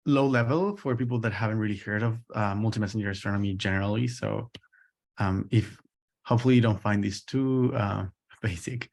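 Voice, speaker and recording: A man from Chile with a Chilean accent and native Spanish, giving an informal academic lecture in a hesitant, halting way, often repeating words. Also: lowish voice. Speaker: postdoctoral researcher giving a lecture.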